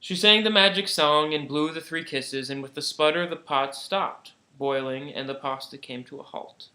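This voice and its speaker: A man's voice: fairly high pitch, moderate pace, sharp tone. A man reading a children's storybook aloud as a narrator. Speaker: volunteer storybook reader.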